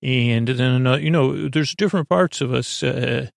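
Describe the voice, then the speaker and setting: A man with a creaky voice hosting a sleep podcast, meandering haltingly through a goofy, self-deprecating bedtime monologue. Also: mid-range voice, dry recording, clean studio sound. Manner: meandering, halting, trails off and repeats himself. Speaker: podcast host. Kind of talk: rambling bedtime monologue for falling asleep.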